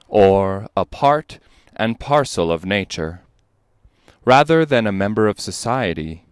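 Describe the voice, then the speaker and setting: A man performing a dramatic reading of an old lecture, with deliberate, emphatic, oratorical phrasing. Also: fairly low pitch, clear, resonant tone, no echo, clean studio sound. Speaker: dramatic reader.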